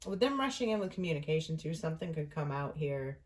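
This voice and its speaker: A woman with a low-pitched voice gives an off-the-cuff tarot reading. She talks in a casual, conversational way as the reader. She thinks aloud and often pauses between phrases. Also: animated.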